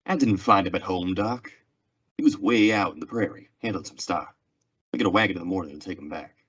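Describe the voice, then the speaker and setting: A man playing a stoic, plainspoken Old West lawman in a radio western, terse, restrained and polite, with a husky voice. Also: low voice, fairly quick, fairly bright tone. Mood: grim, matter-of-fact. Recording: dull lo-fi radio drama recording.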